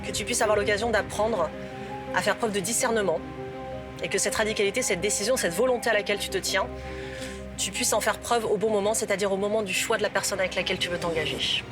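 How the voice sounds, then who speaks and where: A middle-aged woman, a love coach, explaining an exercise in a calm, instructive way. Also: mid-range voice, quick pace. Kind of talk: coaching talk. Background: background music.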